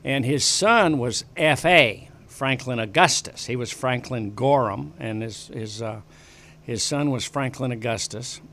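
An older man sits at a broadcast mic in a small studio, recounting family and local history. He talks casually and meanders, restarting phrases often. His voice sits at an ordinary middle pitch. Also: measured pace, pushed and bright, slight background noise. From western United States. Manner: rambling, many restarts and fillers. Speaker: guest. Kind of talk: casual interview storytelling. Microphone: desk broadcast mic on boom arm.

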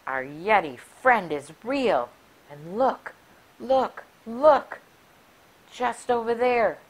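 A middle-aged woman reading a rhyming picture book aloud for children in an expressive, deliberate, sing-song way. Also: a little high, rough recording. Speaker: library story time reader. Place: small furnished room indoors. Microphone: clip-on lapel mic.

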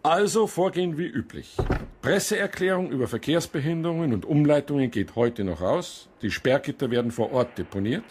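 An actor playing an officious, sardonic police chief giving a clipped briefing in a radio drama. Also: male voice, a little high, expressive, fairly quick, darkish tone, a little hiss or hum, close to an everyday voice. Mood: brisk, officious. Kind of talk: naturalistic radio drama acting.

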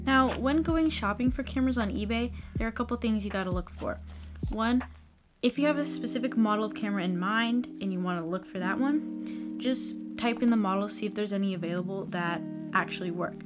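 Young adult woman, American accent, informal how-to vlog, chatty, clear guitar music behind. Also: medium pitch, a little fast. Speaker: video tutorial presenter. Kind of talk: casual explanatory vlog. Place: small bedroom, indoors. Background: plucked guitar music.

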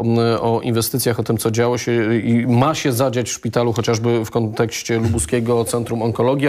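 Man asking brisk, formal questions. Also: mid-range voice, a little mellow. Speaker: radio host. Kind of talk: interview questions. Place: small TV studio.

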